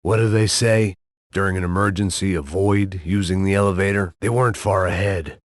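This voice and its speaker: Guy doing a burnt-out middle-aged ex-cop in a game, low deep voice, bitter deadpan, dry close sound. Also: normal speed, no room sound, natural voice. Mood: weary, cynical. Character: world-weary middle-aged male ex-cop bodyguard, cynical, hard-drinking. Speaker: game character. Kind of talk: video game voice acting, noir voice-over.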